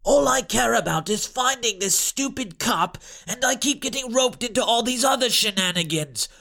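A man's voice: very high voice, animated, moderate pace, gravelly, sharp tone, pitched above the performer's own voice, full character voice. A man voicing a tiny, put-upon magic schoolboy who grumbles and whines. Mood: whiny. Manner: whiny, grumbling.

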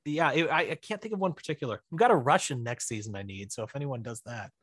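A man chatting casually as an interview guest, animated and rambling, often correcting himself mid-sentence. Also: medium pitch, quick pace, crowd noise. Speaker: writer-director of audio dramas, podcast guest.

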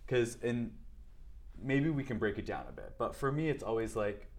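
A man hosting a podcast, asking rambling questions in a chatty, expressive way. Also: medium pitch, moderate pace. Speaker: podcast host. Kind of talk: casual interview chat.

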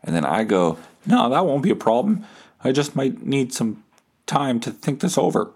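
A man's voice: mid-range voice, very animated, normal speed, a little mellow, slightly rough. A man hosting a podcast, telling a story casually and comedically, foul-mouthed and self-deprecating, reading out message exchanges as dialogue. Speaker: podcast host.

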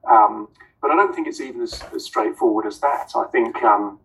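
A man's voice: medium pitch, normal speed, a bit bright, distant mic, poor audio. A man, an author on a panel, answering hesitantly with many ums and restarts.

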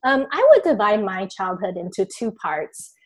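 A woman's voice: medium pitch, big pitch swings, normal speed. A woman from Taiwan, an author and guest on a podcast, speaking thoughtfully with frequent ums. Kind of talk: podcast interview.

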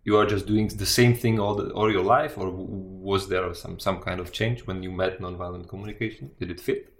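A man's voice: deep voice, fairly even intonation, fairly quick, slight background noise. A man casually interviewing someone, hesitantly rephrasing his questions as he goes. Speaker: interviewer in conversation with a guest.

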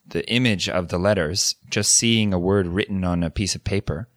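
A man with a very low voice talks through ideas in a relaxed, thoughtful way, often circling back to rephrase himself. The sound is close and dry, with no room around it. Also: moderate pace, dry recording. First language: English. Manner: thoughtful, explanatory, with frequent restarts. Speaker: podcast co-host. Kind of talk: casual educational chat.

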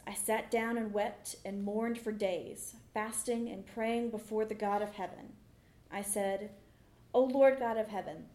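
A woman's voice: mid-range voice, darkish tone. A woman reading scripture aloud in a formal style at a church service. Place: church sanctuary during a service. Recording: echoey church room.

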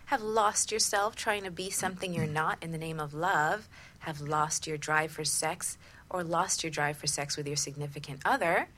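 A woman's voice: low-pitched, moderate pace, fairly bright tone. A woman co-hosting a talk radio show as a relationship coach, in a partly scripted presenting style.

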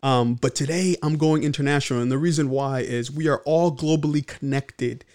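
A man's voice: medium pitch, moderate pace, darkish tone. A man from the Congo hosting a podcast, speaking earnestly with frequent fillers and restarts. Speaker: podcast host. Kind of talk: casual podcast monologue.

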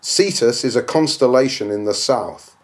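Man, audiobook reader, medium pitch, oratorical, declamatory, dramatic literary delivery. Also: moderate pace. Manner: declamatory, oratorical, with rhetorical questions.

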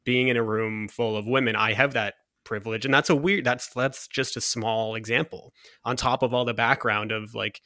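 A man with a deep voice, talking thoughtfully in a casual conversation, with frequent restarts. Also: fairly even intonation, moderate pace, bright, forceful tone, no room sound, clean studio sound. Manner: thoughtful, restarts often, reads quotes aloud.

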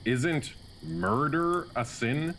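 A man's voice: fairly high pitch, drawn-out words, a bit bright, a little hiss or hum, low-quality recording. A man playing the narrator of a student radio comedy, telling the story in a dry way and wanting the spotlight. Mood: narrating. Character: smug narrator who wants the spotlight.

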